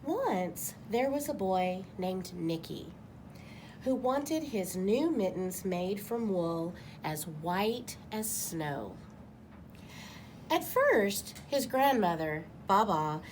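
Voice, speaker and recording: A woman's voice: medium pitch, animated, takes it slowly, slight background noise. A woman reading a picture book aloud to children as a storyteller. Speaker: guest storybook reader.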